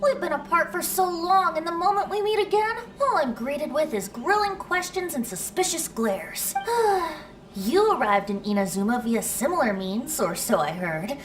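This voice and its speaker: A woman's voice: sits high, expressive, moderate pace, recorded from a distance, noisy background. A woman voicing a carefree young male bard in an anime video game, theatrical, playful and teasing. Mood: mock hurt. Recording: game dialogue over music.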